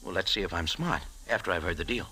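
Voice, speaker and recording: An actor playing a tough, wry American cafe owner in an old radio drama, talking in a clipped, streetwise way. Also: male voice, deep voice, quick pace, bright, forceful tone, husky, full character voice. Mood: wry, guarded. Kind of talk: 1940s-50s hardboiled radio drama acting and narration.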